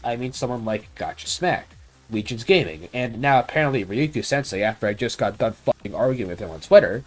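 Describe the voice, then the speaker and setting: A man delivering an opinionated video game review in a blunt, casual way, with some swearing. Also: medium pitch.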